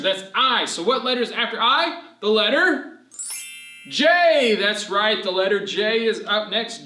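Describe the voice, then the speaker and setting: A man reading an alphabet story to young children as a teacher, animated and encouraging, asking questions and drawing words out for emphasis. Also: high voice. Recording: roomy, echoing room sound.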